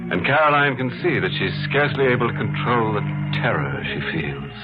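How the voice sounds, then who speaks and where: Macabre horror host and narrator, mock-genial and very expressive, on a tinny vintage broadcast with music. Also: male voice, on the low side. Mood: ominous. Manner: theatrical, mock-genial, drawn-out delivery. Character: gleefully macabre male horror host and storyteller. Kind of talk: 1950s radio horror hosting and narration.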